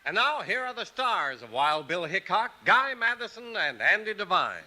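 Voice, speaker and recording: Old-time radio announcer guy, lively sing-song pitch, music under him. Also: moderate pace, rough recording. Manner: booming, upbeat sell. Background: theme music.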